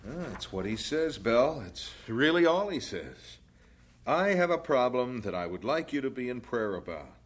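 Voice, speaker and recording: Expressive, earnest missionary husband. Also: male voice, mid-range voice, normal speed. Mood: thoughtful, resolved. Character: earnest missionary husband, devoted and plain-spoken. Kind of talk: old-time radio drama acting.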